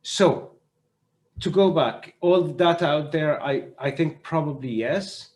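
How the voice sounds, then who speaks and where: A male doctor speaking as a panelist in an online discussion, explaining in a measured, halting way and often repeating words as he goes. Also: fairly high pitch, a little mellow. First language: not French (French is third language). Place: online video conference.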